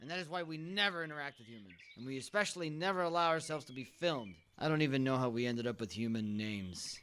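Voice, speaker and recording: A man playing an irate, foul-mouthed Bigfoot father who despises humans, gruff and indignant in a comedic radio play. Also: medium pitch, animated, fast talker, a bit bright, slight background noise, close to an everyday voice. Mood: bitter. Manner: gruff grumbling that erupts into indignant ranting.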